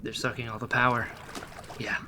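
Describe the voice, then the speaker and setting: A man's voice: mid-range voice, completely flat delivery, fairly quick. A man playing a cautious male rebel who was once a doctor, in a sci-fi audio drama, wary and skeptical. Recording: loud noise behind the voice.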